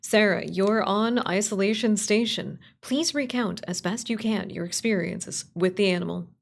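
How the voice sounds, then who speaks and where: A composed radio call-in host , voiced by a woman, from a spooky radio play. The delivery is measured and authoritative, reassuring the caller, with a creaky edge. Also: mid-range voice. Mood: formal. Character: calm, steady radio call-in host guiding frightened caller.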